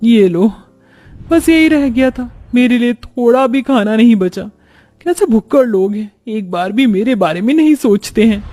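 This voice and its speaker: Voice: medium pitch, lively intonation, unhurried, mellow, faint music behind, pitched above the performer's own voice, close to an everyday voice. A man voicing an overworked young daughter-in-law in a cartoon story, resentful yet dutiful, complaining to herself and polite to her elders. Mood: hurt, resentful.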